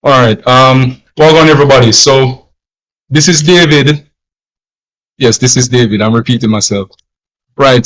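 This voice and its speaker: A man's voice: mid-range voice, a bit bright, low-quality recording. Jamaican male language teacher, livestream host, casual and rambling, mid-range voice. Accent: put-on non-Jamaican accent, not his natural one. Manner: rambling, repeats himself, frequent fillers.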